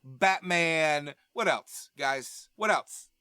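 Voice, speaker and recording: A man co-hosting a podcast in casual, animated banter about movies and TV, opinionated and freely swearing. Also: a little high, very slow, smooth, dry recording, clean studio sound. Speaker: podcast co-host.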